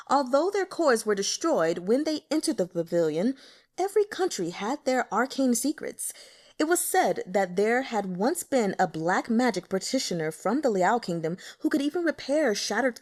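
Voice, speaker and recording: A woman's voice: medium pitch, a little mellow. A woman reading an audiobook, giving a dramatic scripted narration of a story. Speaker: audiobook reader.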